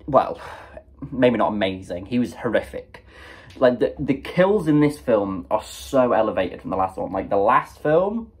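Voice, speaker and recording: A young man with a cold, casually reviewing a film, chatty and animated, full of fillers and acted-out reactions. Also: mid-range voice, husky. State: sniffly with a cold. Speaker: movie reviewer.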